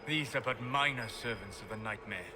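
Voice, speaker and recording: An actor playing a calm, grave scholar of spirits in a video game, explaining things in a measured way. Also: male voice, medium pitch, background noise, natural voice.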